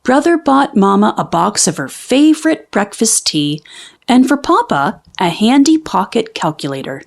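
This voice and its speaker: A woman reading a children's storybook aloud as an expressive narrator. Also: medium pitch, moderate pace, creaky.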